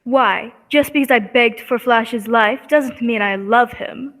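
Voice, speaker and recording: A woman's voice: fairly high pitch, slow, a bit bright. A woman acting a devoted, brave young Earth heroine in an amateur radio serial, with earnest melodrama. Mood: coy, flattering.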